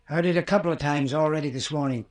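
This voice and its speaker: Actor as a gruff, stubborn rural American mayor. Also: male voice, fairly high pitch, fast, lightly acted. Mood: matter-of-fact. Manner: terse, gruff, clipped rustic sentences. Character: gruff, stubborn rural mayor, older man, curt and domineering. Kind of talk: 1940s radio acting.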